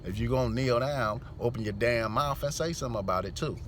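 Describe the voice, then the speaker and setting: A man's voice: medium pitch, fast talker. A middle-aged man hosting a commentary channel, ranting bluntly and preachily about his community. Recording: outdoor street noise.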